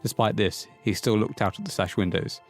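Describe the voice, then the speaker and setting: A man's voice: fairly low pitch, expressive, fairly quick, faint music behind. A man reading scripted horror story narration for an audio play, close on the mic.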